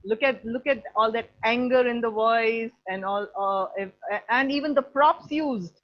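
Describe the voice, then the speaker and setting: A woman's voice: a little high, measured pace. A woman teacher with an Indian accent giving effusive feedback in an online class, repeating phrases for emphasis. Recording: slightly dull online call audio.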